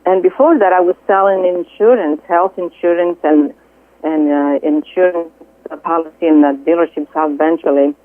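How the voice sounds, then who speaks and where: A woman's voice: fairly low pitch, dry recording. Earnest Greek-accented woman on the phone. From Greece. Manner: earnest, halting, with many fillers. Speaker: guest, Reiki healer. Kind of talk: interview over the phone. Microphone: telephone. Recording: tinny dry phone line.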